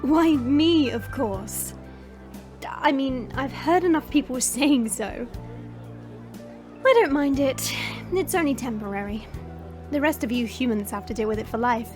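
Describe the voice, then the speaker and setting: Woman playing a haughty, high-voiced dragon princess. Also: unhurried, darkish tone, soft background music. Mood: matter-of-fact, dismissive. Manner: imperious, formal, aloof. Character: haughty dragon princess in temporary human form, proud, guarded. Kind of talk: audio roleplay fantasy drama acting.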